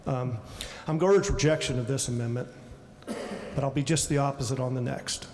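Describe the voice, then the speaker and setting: A man legislator giving a measured, explanatory floor speech with frequent fillers. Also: medium pitch, steady tone, a little mellow, a little hiss or hum. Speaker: state delegate. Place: legislative chamber. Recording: long hall reverb, faint ambience.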